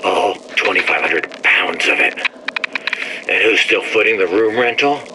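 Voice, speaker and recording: A man's voice: on the low side, a little slow, natural voice. An actor playing a bitter, vengeful man who sneers threats at a rival in a game audio log. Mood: sneering. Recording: audio log with steady background noise.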